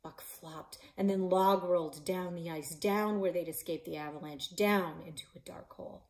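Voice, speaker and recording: A woman in her forties, a librarian and author, reading her children's novel aloud in a living room, voicing the characters with shouts and whispers. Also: fairly low pitch, fairly even intonation, moderate pace. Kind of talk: children's book read-aloud. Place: living room in front of a stone fireplace.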